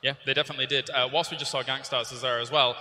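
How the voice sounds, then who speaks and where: A man working as an esports caster, giving upbeat, quick desk commentary. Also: medium pitch, fairly even intonation, pushed and bright, slight background noise. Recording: long reverb in a large hall.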